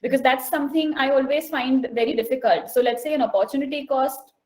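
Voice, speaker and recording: A woman's voice: sits high, fast talker, darkish tone. A woman asking a question in a casual podcast conversation, curious and questioning.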